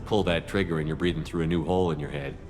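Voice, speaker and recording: A man's voice: a bass voice, fairly even intonation, fast, a little mellow. An actor playing a blunt male human commander who speaks firmly. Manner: blunt, firm.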